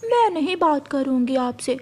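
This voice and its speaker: A woman's voice: high voice, expressive, moderate pace, a little mellow, slight background noise. A woman voicing a devoted, sulky cow wife in a cartoon, tender and pouting. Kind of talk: cartoon voice acting.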